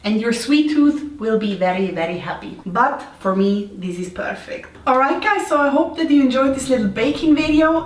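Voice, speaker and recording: A woman from Switzerland with a Swiss accent, chatting casually and playfully as the host of a home baking vlog. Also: fairly high pitch. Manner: playful, informal, self-deprecating. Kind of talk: casual chat to camera. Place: indoors, home kitchen. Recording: roomy echo, distant mic, faint noise.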